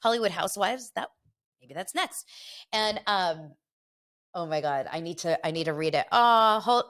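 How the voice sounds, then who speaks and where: Middle-aged woman host, gossipy chat, slightly low pitch, stuffy from a cold. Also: expressive, fairly bright tone. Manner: chatty and gossipy, quick asides. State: congested from a head cold. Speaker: podcast host. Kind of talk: casual chat.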